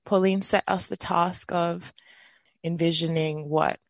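A twenty-eight-year-old radio host with an Australian accent, leading a community panel in an earnest, relaxed way. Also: mid-range voice, measured pace, no room sound. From Western Australia. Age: 20s. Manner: earnest, relaxed, with fillers and small laughs.

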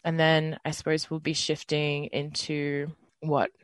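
A twenty-eight-year-old radio host with an Australian accent, leading a community panel in an earnest, relaxed way. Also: medium pitch, measured pace, dry recording. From Western Australia. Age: 20s. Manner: earnest, relaxed, with fillers and small laughs.

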